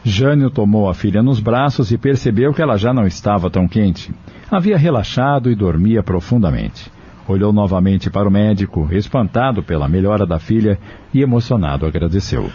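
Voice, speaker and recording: A man's voice: fairly low pitch, expressive, fairly quick, mellow, husky, highs cut off. A man narrating a scripted dramatic story as the narrator of an audio drama.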